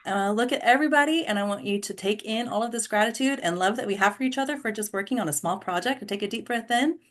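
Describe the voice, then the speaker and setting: A woman's voice: on the high side, fast. A woman hosts an online group session with a mid-range voice. She speaks in a casual, motivational style, giving the group guidance and instructions over a video call. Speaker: host and director of an online script table read. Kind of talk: casual motivational group leading, guided gratitude exercise.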